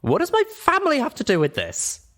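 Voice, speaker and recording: A man's voice: extremely high pitch, wildly swooping pitch, brisk, fairly bright tone, voice pushed up, full character voice. A man voicing a stubborn, angry young female pony in a dramatized audiobook, with a harsh, emphatic delivery. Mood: defensive, indignant.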